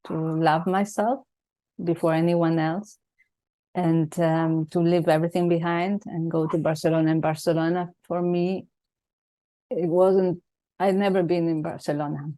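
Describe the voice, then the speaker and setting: A woman with a mixed Venezuelan Spanish accent, Italian by first language, hosting a reflective conversation over a video call and searching gently for her words. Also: on the low side, level tone, unhurried. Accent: Spanish, Venezuelan mix. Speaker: host. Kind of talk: unscripted heartfelt conversation.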